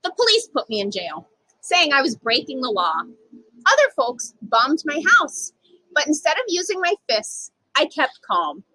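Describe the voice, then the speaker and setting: A woman's voice: high voice, sing-song, a little slow, bright, forceful tone, no room sound, rough recording. A woman reading a children's picture book aloud expressively as a storytime reader. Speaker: storytime reader, literacy program manager.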